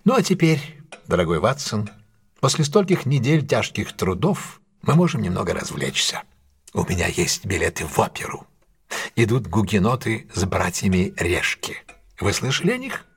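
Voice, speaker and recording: An actor playing a shrewd detective in an audio drama, laying out a case in a measured, articulate way with deliberate pauses. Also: male voice, on the low side, very animated, vocal fry, breathy edge, exaggerated voice. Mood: relaxed, genial. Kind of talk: audio drama acting, expository monologue.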